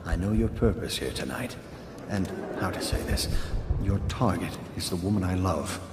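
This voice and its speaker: Low-voiced secretive aristocrat. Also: male voice, animated, moderate pace. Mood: conspiratorial. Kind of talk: video game voice acting. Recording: echoey, loud music behind.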